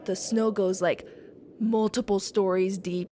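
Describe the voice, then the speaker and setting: A woman from northern Japan tells stories in a casual, animated, sweary vlog style. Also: medium pitch, a little slow, music in the background. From Aomori, northern Japan. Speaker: video creator. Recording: clear background noise, clicks.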